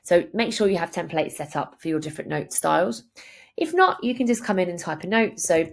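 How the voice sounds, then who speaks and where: A woman presenting a software tutorial, explaining each step in a clear, instructional way. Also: on the low side, animated, fairly quick. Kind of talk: screen-share tutorial narration, instructional.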